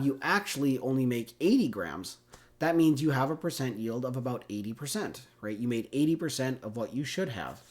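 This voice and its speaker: A man with a congested cold, teaching a chemistry lesson in a casual, conversational way, often checking in with "right?" and "okay?". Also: medium pitch. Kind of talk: recorded classroom lecture.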